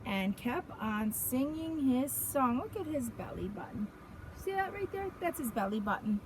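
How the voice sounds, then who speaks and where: A woman reading a picture book aloud to small children in an expressive, sing-song teacher's manner, pausing to ask simple counting questions. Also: high voice, noisy background.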